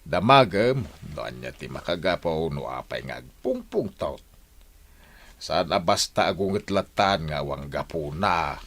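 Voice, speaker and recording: A man playing an older, sober father-in-law in a village radio drama, speaking in a measured, admonishing way. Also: extremely low pitch, animated, a bit bright, slight background noise, full character voice. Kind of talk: Ilocano radio drama acting.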